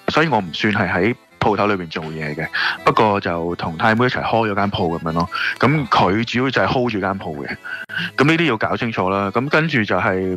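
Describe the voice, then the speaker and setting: A man with a Hong Kong Cantonese accent explains things casually as an interview guest. His voice is fairly low yet bright and forward, with music faintly underneath. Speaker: radio interview guest, shop owner. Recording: music playing behind the voice. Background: background music.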